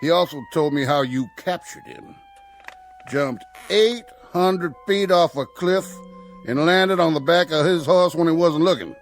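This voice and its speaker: An actor playing a sly, menacing Old West outlaw in an audio drama, with a gravelly American Western drawl and a folksy, needling way of talking. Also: male voice, on the high side, lively intonation, measured pace. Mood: scornful. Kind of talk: radio drama acting. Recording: music under the dialogue.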